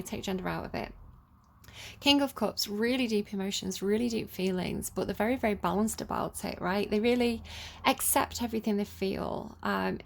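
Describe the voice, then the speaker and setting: Manchester woman doing a chatty tarot reading, bit of vocal fry, mid pitch, thinking out loud. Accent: Manchester English. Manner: informal, hesitant, thinking aloud. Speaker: tarot reader, channel host.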